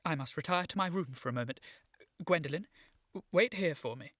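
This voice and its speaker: A woman playing an earnest, excitable gentleman in a period radio comedy, formal and urgent with an agitated stammer. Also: low-pitched, a little fast, dry recording, studio quality. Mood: urgent, insistent. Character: earnest, excitable young gentleman seeking his origins.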